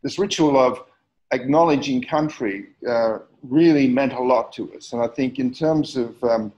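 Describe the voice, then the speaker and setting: Brief: middle-aged Australian man, halting slide talk, muffled lo-fi sound, mid pitch. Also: a little mellow. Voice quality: hesitant, many fillers and repeats. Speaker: community history speaker. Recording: dull lo-fi recording.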